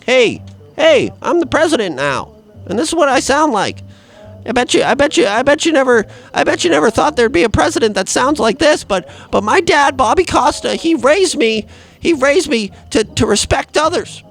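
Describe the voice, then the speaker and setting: A man voicing a tiny mold-baby grown into president, giving a earnest little speech in a very high squeaky voice. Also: very animated, normal speed, vocal fry, a bit bright, soft background music, heavily acted.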